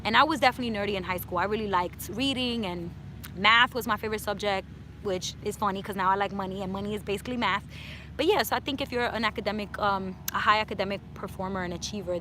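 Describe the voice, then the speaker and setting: Bright chatty New woman outdoors. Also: medium pitch, slight background noise. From New York City. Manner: chatty, drops Spanish words. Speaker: personal finance YouTuber. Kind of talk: casual Q&A vlog. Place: outdoors in hot sun.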